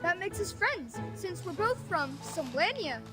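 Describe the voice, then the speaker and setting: Brash, chatty street kid; high-pitched, with game score behind. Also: female voice, sing-song, normal speed. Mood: sly. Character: cheeky street-smart kid, talkative. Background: game music.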